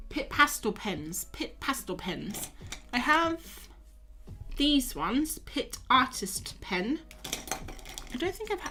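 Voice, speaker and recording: A woman's voice: on the high side, pushed and bright. A young woman, tired and losing her voice, chatting casually with viewers as she paints on a live stream. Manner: chatty, self-mocking, talks to viewers while drawing. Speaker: art streamer.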